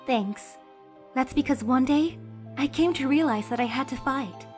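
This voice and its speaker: A woman's voice: high-pitched, unhurried, a little mellow, faint music behind. A woman voicing an earnest, cheerful young female defense lawyer who speaks with energy and sometimes breaks into emotional pleas. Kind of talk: fan dub voice acting.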